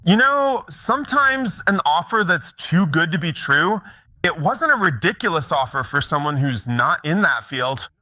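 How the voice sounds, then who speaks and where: A man's voice: on the high side, big pitch swings, measured pace, pushed and bright, dry recording. A middle-aged man telling stories in a conversational conference talk, often checking in with the audience. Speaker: mortgage broker giving a conference talk.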